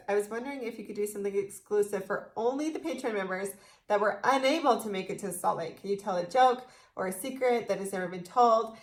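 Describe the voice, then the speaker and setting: A young adult woman with an American accent, co-hosting a casual video and reading out questions from fans. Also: mid-range voice. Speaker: video co-host. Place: small echoey indoor room. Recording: roomy, echoey indoor sound.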